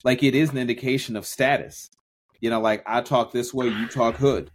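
A man's voice: medium pitch, steady tone, normal speed, slight background noise. Man, podcast co-host, casual talk, thoughtful, stops and restarts, stretches out phrases. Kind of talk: casual discussion.

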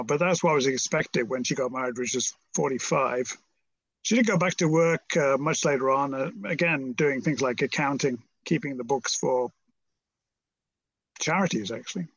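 A man's voice: medium pitch, fairly bright tone, no room sound, dull audio. A British man in his seventies from Merseyside, talking reflectively as an interview guest in a hesitant, rambling, understated way. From Merseyside, England. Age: 70s.

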